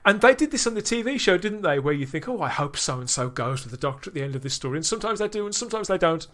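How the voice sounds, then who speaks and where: Brief: male podcast host, slightly higher voice, keen and chatty, keeps asking the others. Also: big pitch swings, fast, fairly bright tone. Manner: enthusiastic, drawing in co-hosts with tag questions. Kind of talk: casual review discussion.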